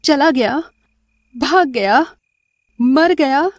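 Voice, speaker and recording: A woman's voice: high voice, lively intonation, very slow, no room sound, studio quality, voice pushed up, exaggerated voice. A woman voicing a mad, homeless young woman who mutters short phrases obsessively in a story. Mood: agitated. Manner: repetitive chanting mutter.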